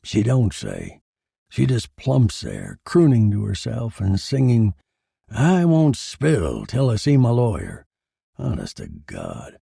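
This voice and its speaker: A man acts out a big, tough homicide detective from a noir crime drama. His voice is heavily creaky and husky, swinging widely in pitch. He talks in brusque slang, cynical and exasperated. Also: huge pitch swings, takes it slowly, dark tone, no echo, clean studio sound, exaggerated voice. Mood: weary exasperation. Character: hard-boiled gruff police detective, big man, cynical.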